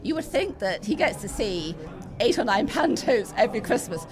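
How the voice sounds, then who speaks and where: A woman's voice: a little high, big pitch swings, moderate pace. A middle-aged British woman talking as a podcast interview guest, emphatic and conversational, repeating words for stress. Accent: British English. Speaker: interview guest, pantomime enthusiast and parent. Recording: busy crowd chatter behind. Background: chatter of people at a venue.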